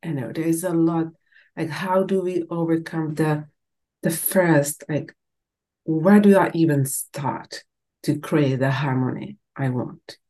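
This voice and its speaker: A woman's voice: deep voice, takes it slowly, mellow. A woman from the Czech Republic with a Czech accent, hosting a podcast in a casual, conversational way. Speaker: podcast host.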